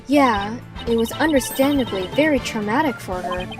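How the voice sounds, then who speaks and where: A woman's voice: on the high side, expressive, moderate pace, lightly acted. A woman playing an eager, caring young rookie lawyer with a sincere, friendly manner in a game fandub. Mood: concerned. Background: prominent game music.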